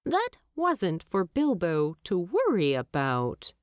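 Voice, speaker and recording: A woman narrating fiction from a script as an audiobook narrator, in a measured, expressive storytelling manner. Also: lowish voice, no echo, clean studio sound.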